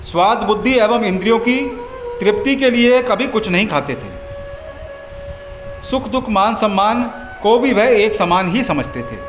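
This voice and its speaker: A man narrating a scripted religious story as a devotional storyteller, in measured, formal phrases with frequent pauses. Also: sits high, lively intonation. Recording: echoey voice over background music. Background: background music.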